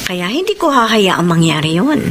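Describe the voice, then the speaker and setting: A woman's voice: mid-range voice, very animated, normal speed, lightly acted. An actress playing a shrewd, controlling Filipino woman moneylender in a radio drama, firm and possessive. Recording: noisy background ambience.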